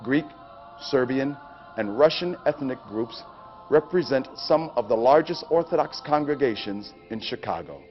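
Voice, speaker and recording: A man's voice: mid-range voice, expressive, normal speed, faint music behind. A man who works as a chaplain, presenting scripted narration for a training video. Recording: dull, lo-fi training video audio.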